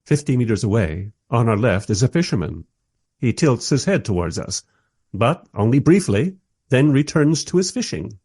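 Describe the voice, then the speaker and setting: A man's voice: mid-range voice, big pitch swings, moderate pace, a little mellow, slightly rough. An actor playing a wry, skeptical man who narrates his own adventure in a radio play, with a dry storytelling manner.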